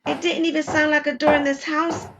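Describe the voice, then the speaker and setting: A woman's voice: sits high, flat delivery, background noise, voice pushed up. A woman playing a frightened, anxious young wife in an audio drama, speaking emphatically. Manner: anxious, emphatic, halting when scared. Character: Shelby, frightened young wife alone at home.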